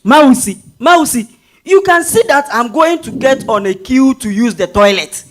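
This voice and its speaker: A man's voice: very high voice, animated, unhurried, soft background music. A man with a Ghanaian accent playing a lazy, idle husband in a staged reading, offhand, dismissive and complaining. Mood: grumbling.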